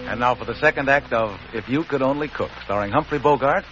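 A man's voice: mid-range voice, quick pace, a bit bright, soft background music. A man announcing and narrating a 1940s radio theater show in a scripted style. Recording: muffled, hissy old 1940s radio broadcast.

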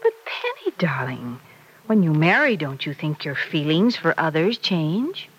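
An actress playing a patient, gently probing middle-aged mother in an old-time radio serial, speaking in a measured, coaxing manner. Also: deep voice, big pitch swings, breathy edge, exaggerated voice, low-quality recording. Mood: reflective, tender. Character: gentle, probing mother advising her grown daughter.

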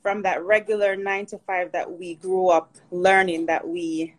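A woman from Jamaica, chatting as a podcast guest in an upbeat way and often pausing to find her words. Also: lowish voice, flat delivery. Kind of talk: casual interview chat.

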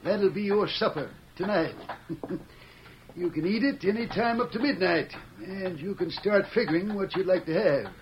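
High-voiced, folksy Old West sheriff played by a man. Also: moderate pace, close to an everyday voice. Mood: genial, teasing. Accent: American. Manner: folksy, dryly teasing. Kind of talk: old-time radio western acting. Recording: muffled, hissy vintage radio recording.

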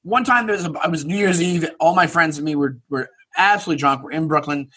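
A man's voice: on the high side, quick pace, sharp tone, breathy edge. An American man, a comedian guesting on a podcast, telling casual stories in a rambling, self-deprecating way. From Long Island, New York, United States. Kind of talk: casual comic storytelling.

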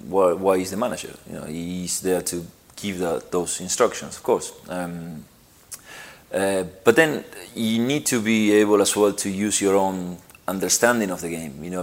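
Argentine-born man, middle-aged, interviewee; lowish voice, halting, repeats himself. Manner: halting, repeats phrases, frequent "you know". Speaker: interviewed footballer. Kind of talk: relaxed interview answers.

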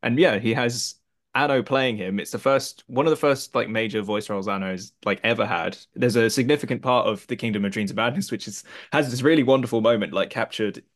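A man's voice: mid-range voice, fairly quick. A man, a film critic guest on a podcast, telling stories in a loose, chatty way with lots of fillers. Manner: chatty storyteller, many "like" fillers. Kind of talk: casual podcast film discussion.

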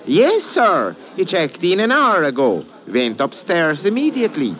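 A man's voice: on the high side, huge pitch swings, unhurried. An actor playing a fussy, deferential male hotel desk clerk in an old-time radio drama, polite and stammering. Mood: flustered, puzzled. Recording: dull old radio recording.

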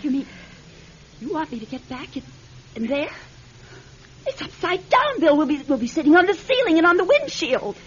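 High-pitched, jittery, secretive American passenger. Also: female voice, big pitch swings, moderate pace, pauses often. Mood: incredulous. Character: nervous, secretive young woman, stranded, sharp-tongued passenger. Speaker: actor in a radio drama. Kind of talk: American radio drama acting. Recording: dull, noisy old radio recording.

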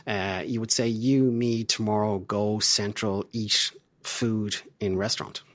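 A middle-aged man with an Irish accent answering interview questions as a diplomat, explaining things in a measured, halting way with repeated words. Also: fairly low pitch, steady tone, no room sound. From Ireland.

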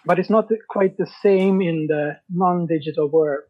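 Male guest, high voice, halting casual talk with mid-sentence pauses, muffled lo-fi recording. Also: fairly even intonation. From Sweden. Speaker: podcast guest, writer. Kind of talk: casual interview chat.

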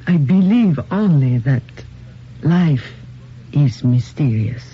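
An actor playing a cryptic, ominous man who speaks in deliberate, knowing pronouncements in a radio drama. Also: fairly high pitch, lively intonation. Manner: deliberate, mysterious. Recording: muffled, noisy old radio drama recording.

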